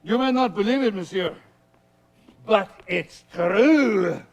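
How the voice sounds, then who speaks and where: A flamboyant, swaggering poet-adventurer, spinning wild tales in a high voice. He talks in grand, theatrical, rambling bursts, as in a staged period drama. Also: slow pace, lo-fi sound, soft background music. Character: witty swaggering male poet posing as a raving space traveler.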